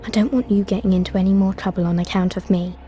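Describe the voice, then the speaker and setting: A woman's voice: mid-range voice, steady tone, quick pace, dark tone, natural voice. An actress playing a brave young girl who talks in a decisive, conspiratorial way in a video game cutscene. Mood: determined.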